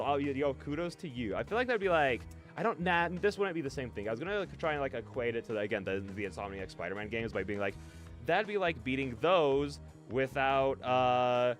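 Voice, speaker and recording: A man hosting a live stream in casual, rambling, self-deprecating chat, on a close dry mic. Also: mid-range voice. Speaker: streamer and host. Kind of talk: casual live-stream chat with chat replies and read-aloud game narration.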